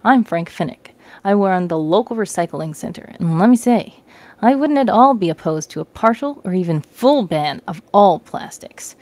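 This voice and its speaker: A woman's voice: mid-range voice, lively intonation, normal speed, darkish tone, no echo, close to an everyday voice. A woman voicing an earnest, plain-spoken man who runs a recycling center in a story.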